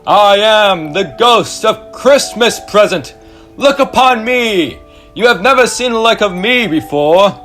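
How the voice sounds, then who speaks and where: A man's voice: high voice, animated, measured pace, a bit bright, faint music behind. A young man playing a hearty, laughing Christmas spirit on a school stage, who turns grave at times. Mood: jovial. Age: young adult. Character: booming jovial male Christmas spirit. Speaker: student actor.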